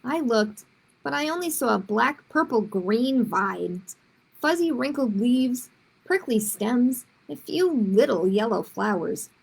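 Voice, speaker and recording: A woman's voice: fairly high pitch, lively intonation, slow, gravelly, slightly rough, rough recording. A woman reading a children's story aloud expressively, with short explanatory asides to young listeners.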